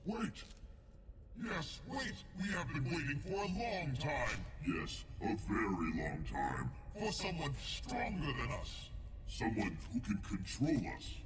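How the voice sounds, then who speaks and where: An actor playing two talkative demonic guardian brothers in a video game, pompous and dim, speaking in a stilted, formal, bombastic way. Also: male voice, medium pitch, wildly swooping pitch, slow pace, very noisy, exaggerated voice. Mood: eager, pleading. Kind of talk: video game cutscene acting. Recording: echoing, distant game audio with loud music.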